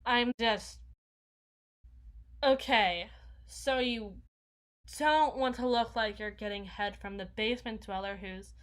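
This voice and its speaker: A woman's voice: a little high, takes it slowly, pauses often, a bit bright. A woman voicing an awkward, needy, housebound young vampire in an ASMR roleplay, rambling and stammering as she corrects herself. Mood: awkward, defensive. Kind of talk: intimate ASMR roleplay monologue.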